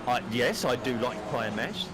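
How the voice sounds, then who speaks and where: A Cockney man in his fifties from East London, commentating a sim race live in a chatty, excitable way, swearing casually. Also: mid-range voice, moderate pace, noisy background. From East London, England. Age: 50s. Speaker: race broadcast commentator and streamer.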